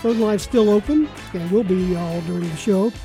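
A man's voice: high-pitched, normal speed, mellow, faint music behind. A man hosting a live sports talk radio show, courteous and orderly as he handles callers and announcements, a bit hesitant when explaining.

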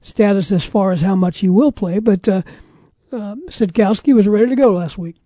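Very dark, mellow-voiced man hosting radio. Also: sits high, moderate pace, no echo, clean studio sound. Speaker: sports talk radio host. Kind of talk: casual radio sports talk.

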